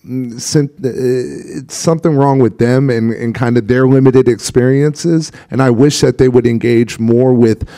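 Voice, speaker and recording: A man on a discussion panel speaks with a warm, mellow voice. He thinks aloud, hesitating often and circling back before landing his points. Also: medium pitch. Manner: reflective, hesitant, many fillers.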